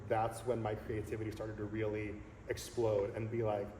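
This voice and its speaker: A man in his thirties, a songleader talking earnestly and reflectively as a guest in a casual interview, pausing often to find his words. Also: fairly low pitch, completely flat delivery, mellow. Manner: earnest, reflective, halting with fillers. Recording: echoey hall with faint noise.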